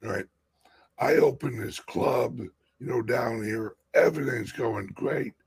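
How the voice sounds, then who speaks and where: A man with a raspy voice hosting a casual political chat on a crypto show, talking emphatically and restarting often. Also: medium pitch, unhurried, a little mellow.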